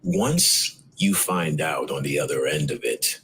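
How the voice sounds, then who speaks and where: A man in an interview clip, telling an animated story with plenty of "like" and asides. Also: very deep voice, a little slow, husky, low-quality recording. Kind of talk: casual interview talk.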